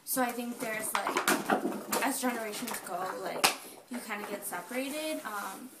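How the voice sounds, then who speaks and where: A woman's voice: fairly high pitch, measured pace, echoey room, distant mic, heavy background noise. A young woman answering an interview casually and hesitantly, with many ums.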